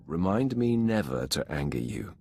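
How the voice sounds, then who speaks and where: A man voicing a commanding, coolly controlled Sith lord in a video game, speaking in a measured, authoritative way. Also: sits low, sing-song, dark tone, a little hiss or hum. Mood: dry, guarded.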